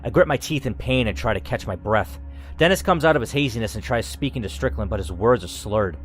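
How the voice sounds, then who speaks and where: A man's voice: medium pitch, lively intonation, brisk. Man narrating a game over music. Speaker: gamer, channel host. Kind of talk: reading game narration aloud with casual commentary.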